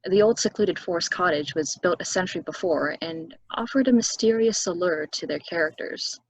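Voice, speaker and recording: A woman at a stage play table reading, playing a calm, solitary young local who tells things in an unhurried, matter-of-fact way. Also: on the low side, lo-fi sound, a little hiss or hum, close to an everyday voice. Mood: storytelling.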